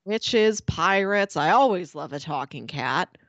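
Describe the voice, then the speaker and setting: A young adult woman chats casually as a podcast co-host. Her pitch moves around in an animated way, and the sound is dry with no room around it. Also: a little slow, dry recording, lo-fi sound. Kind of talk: casual chat.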